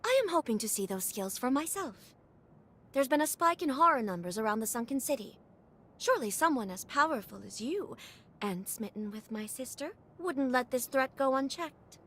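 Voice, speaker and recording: A woman's voice: sits high, lively intonation, moderate pace, fairly bright tone. A woman playing a haughty, overprotective noble sister in a video game cutscene, speaking in a formal, dramatic manner. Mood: stern, challenging.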